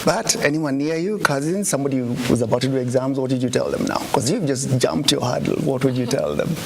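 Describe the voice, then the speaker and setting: Lively, playful man. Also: mid-range voice, fast, gravelly. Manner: playful, teasing, animated questioning. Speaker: news show host interviewing a pupil. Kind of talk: casual on-the-spot interview. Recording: noisy background ambience.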